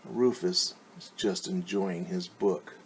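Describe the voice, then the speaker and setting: A man who is a teacher reading a picture book aloud to young children, pausing to explain things simply. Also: low-pitched, very animated, slight background noise, low-quality recording. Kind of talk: children's storytime read-aloud with asides.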